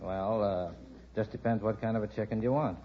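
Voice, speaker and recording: Halting male contestant, noisy old radio. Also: lowish voice, steady tone. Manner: halting, plain-spoken. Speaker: quiz contestant, poultry shop owner. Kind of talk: unscripted radio interview answers. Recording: muffled noisy old radio recording, loud audience.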